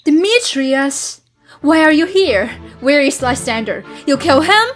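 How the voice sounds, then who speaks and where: A woman's voice: sits high, expressive, unhurried, faint music behind. A woman playing a distraught, accusing young woman in love in an amateur radio drama. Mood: accusing, upset.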